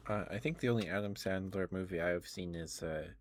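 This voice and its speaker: A man streaming ASMR close to the microphone, chatting casually and sometimes whispering, in a halting, rambling way. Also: sits low, a little hiss or hum. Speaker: ASMR livestreamer. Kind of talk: ASMR livestream, casual chat with whispered passages.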